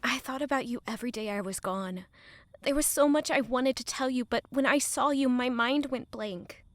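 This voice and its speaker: Woman playing an earnest, higher-voiced young sweetheart. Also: moderate pace, a little hiss or hum, close to an everyday voice. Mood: wistful. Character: guilt-ridden, selfless young woman secretly loving a prince. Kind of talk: intimate audio drama acting.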